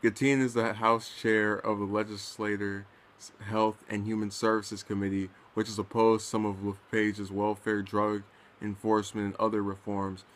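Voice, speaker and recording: A man's voice: on the low side, little pitch movement, moderate pace, fairly bright tone. A man casually reading and commenting on news, stumbling over his words now and then.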